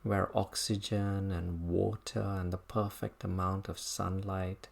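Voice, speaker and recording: Slow, low-voiced meditation guide. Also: little pitch movement, creaky. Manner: unhurried, contemplative, halting phrases. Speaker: meditation teacher. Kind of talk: guided body scan meditation.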